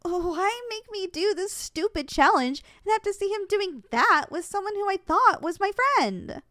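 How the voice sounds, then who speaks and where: A woman playing an eager, sweet and easily flustered young succubus trainee in an ASMR roleplay, expressive and coaxing. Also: very high voice, measured pace, no room sound, clean studio sound, heavily acted. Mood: hurt, indignant.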